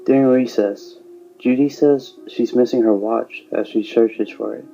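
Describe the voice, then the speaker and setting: Male story narrator, very dark gravelly voice, flat delivery, dull lo-fi recording. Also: medium pitch, a little slow. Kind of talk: scripted third-person story narration. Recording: dull lo-fi sound, music behind.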